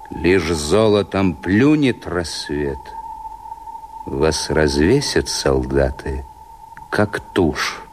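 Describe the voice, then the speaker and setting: An actor playing a scheming, scornful rebel conspirator, declaiming verse emphatically and deliberately in a radio play. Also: male voice, low-pitched. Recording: noisy radio play soundtrack.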